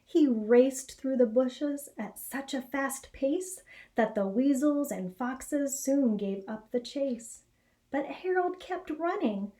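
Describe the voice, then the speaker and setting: An American woman in her forties reading a children's picture book aloud with expressive storytelling. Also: sits high. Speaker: elementary school educator reading aloud. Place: small indoor classroom or office.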